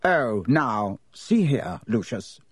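A man's voice: mid-range voice, very animated, slow pace, slightly rough, no echo, full character voice. A man voicing a flustered, anxious middle-aged official in an audiobook, hesitant and protesting with broken phrases. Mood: alarmed.

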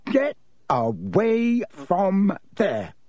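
A man's voice: fairly high pitch, very animated, a little slow, a little mellow, no room sound, voice pushed up. A man voicing an officious, indignant teenage boy prefect who scolds others in an audiobook. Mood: shocked.

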